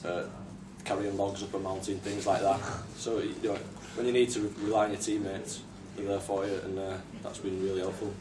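Young man, rugby winger, low voice, hesitant, echoey distant sound, noise behind. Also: level tone, brisk, few pauses. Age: 20s. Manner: modest, hesitant, many fillers. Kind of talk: post-match press interview. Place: small press room at a stadium. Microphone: far camera mic. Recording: echoey room from far off, noisy.

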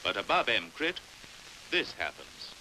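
British male narrator, vintage science film. Very bright, forceful voice with lively intonation, precise delivery, old hissy soundtrack. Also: fairly low pitch, unhurried, low-quality recording. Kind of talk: scripted narration, 1950s educational film.

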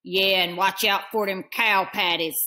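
A woman's voice: medium pitch, fairly even intonation, measured pace, sharp tone. Woman reading as mean ranch stepbrother, sneering drawl, storybook read-aloud. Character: lazy sneering young cowboy stepbrother.

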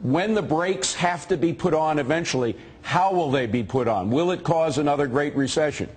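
A middle-aged man hosts a live TV news interview. His voice is fairly high for a man and has a husky, rough edge. He poses questions as a studio anchor. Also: moderate pace, darkish tone. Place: indoor TV studio.

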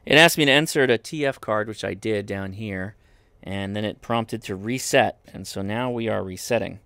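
A man with a fairly low voice talks through a hands-on product review. He sounds informal, thinking aloud step by step, and the recording is close and dry with little room sound. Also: moderate pace, bright, forceful tone, no room sound. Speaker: host of a radio gear review channel. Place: indoors at a desk.

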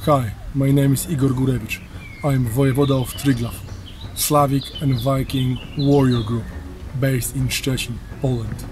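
A man's voice: mid-range voice, fairly even intonation, normal speed, background noise. A man who leads a warrior group, speaking earnestly in a documentary interview outdoors. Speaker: warrior group leader and author.

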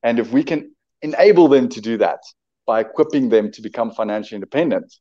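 A man's voice: mid-range voice, lively intonation, fast, mellow. A South African man in his twenties whose first language is Afrikaans, talking eagerly as an entrepreneur guest on a video call from home, often repeating words as he builds his point. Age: 20s. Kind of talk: casual video-call interview. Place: home room indoors. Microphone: webcam on a video call. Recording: dull lo-fi video-call audio.

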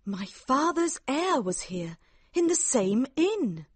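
Man putting on complaining young wife, high and lively, dry sound. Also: slow pace, breathy edge, dry recording, exaggerated voice. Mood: fretful. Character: fretful young married woman, Mary.

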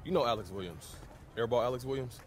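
An actor playing an insistent young college basketball teammate with an American accent, slangy and emphatic, in a video game cutscene. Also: male voice, mid-range voice, quick pace, lightly acted. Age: young adult.

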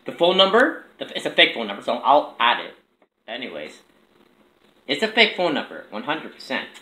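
A young man with a bright, lively voice chats casually as he makes prank calls. He is recorded at arm's length in an echoey room. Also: mid-range voice, moderate pace. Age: young adult. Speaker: prank caller filming himself. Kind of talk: casual chat and prank calling. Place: small room indoors at a table. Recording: echoey room with background noise.

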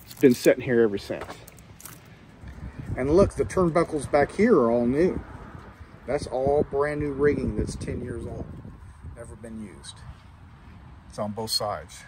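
A man's voice: medium pitch, unhurried, pauses often, a little mellow, slight background noise, rough recording. A middle-aged man giving a casual, rambling walkthrough of old boats, talking outdoors into a handheld camera. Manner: plainspoken, rambling, trails off and restarts. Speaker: sailing vlogger. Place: outdoors in a boatyard, walking around boats. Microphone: handheld camera, selfie framing.

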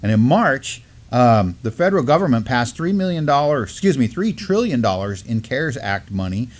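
A man's voice: medium pitch, very animated, moderate pace. A middle-aged man co-hosting a casual news discussion in loose, informal phrasing. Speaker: co-host. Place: small studio, seated at a table.

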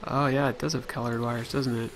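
A man's voice: mid-range voice, level tone, a little fast, faint music behind. A man hosting a let's play, chatting casually and thinking aloud as he plays. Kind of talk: casual gameplay commentary.

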